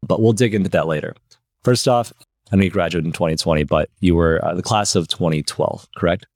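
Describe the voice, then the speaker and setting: A man from Michigan hosting a podcast, asking quick, eager questions in a casual interview. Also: low-pitched, darkish tone, dry recording, studio quality. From United States (Michigan). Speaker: podcast host.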